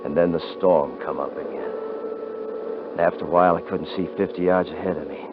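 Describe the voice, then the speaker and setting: An actor playing a cocky, rough-talking frontier hunter who also narrates, in a 1950s radio drama. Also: male voice, low-pitched, fairly even intonation, a little fast, background noise, close to an everyday voice. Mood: grim narration. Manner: rough rural grammar, boastful. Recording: muffled vintage radio recording.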